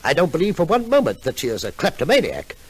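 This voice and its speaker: A man's voice: fairly high pitch, wildly swooping pitch, quick pace, husky, exaggerated voice. An actor playing a fussy, exasperated father in an old-time radio comedy sketch. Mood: fussy, worried. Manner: fussy, stammering repetitions when flustered. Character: flustered, exasperated father, suspicious of his daughter. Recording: old radio recording, faint audience.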